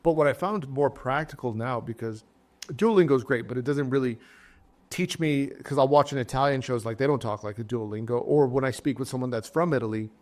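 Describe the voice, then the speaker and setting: A man's voice: mid-range voice, a little fast, darkish tone. A middle-aged man hosting an interview in a chatty way, often repeating words as he starts a thought. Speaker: podcast host. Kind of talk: casual interview talk.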